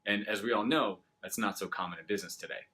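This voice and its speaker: A man's voice: on the low side, fast talker, sharp tone. A man coaching viewers in a conversational, explanatory way, checking in with short rhetorical questions as he gives an instructional business tip. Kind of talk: instructional sales tip to camera.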